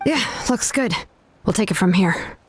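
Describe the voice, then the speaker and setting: A woman's voice: medium pitch, expressive, a little slow, slight background noise, lightly acted. A woman playing a capable, practical young female warrior in an anime game, with a casual, matter-of-fact manner. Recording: music under the voice. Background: game music.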